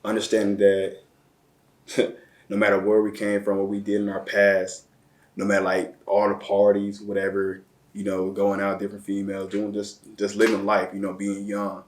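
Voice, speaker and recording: A man from the area, telling his life story as an interview guest in slang, often repeating words. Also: lowish voice. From Chicago area, Illinois. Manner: slow, flat delivery with repeated words and slang. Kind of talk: reflective personal storytelling. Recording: roomy echo.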